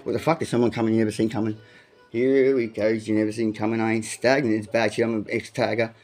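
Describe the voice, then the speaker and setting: A man's voice: lowish voice, level tone, quick pace, a little mellow. A man freestyling profane, aggressive rap bars, repeating lines as he goes. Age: adult, has a son. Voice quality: says he has a big voice. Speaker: amateur freestyle rapper.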